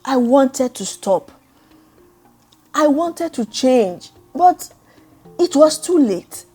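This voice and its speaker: A woman's voice: high voice, animated, very slow, slightly rough, faint music behind, voice pushed up, full character voice. A woman voicing a young maid in a story, bitter and guilt-ridden, confessing brokenly. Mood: sobbing regret. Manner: hushed, breaking, anguished confession.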